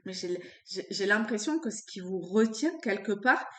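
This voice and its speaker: A woman with a cold doing an unscripted tarot reading, pausing often and searching for words. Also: mid-range voice, lively intonation, reverberant. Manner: halting, searching for words with many fillers. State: has a cold. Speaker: tarot card reader.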